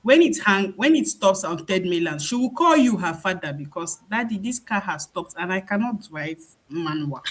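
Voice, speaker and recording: A panelist with a lively, joking manner in a casual roundtable discussion over a video call. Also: mid-range voice, moderate pace, hardly any pauses. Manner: lively, joking, laughs easily.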